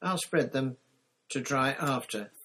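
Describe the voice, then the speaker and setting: A man's voice: medium pitch, slow, sharp tone, slight background noise, voice pushed up. A man voicing a sturdy, thrifty countryman with a blunt rustic manner. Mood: matter-of-fact.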